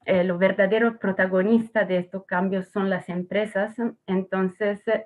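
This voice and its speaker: Woman presenter on tinny call audio. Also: medium pitch. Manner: earnest, with frequent fillers and restarts. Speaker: webinar host from a responsible-ownership organization. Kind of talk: presentation to an online audience.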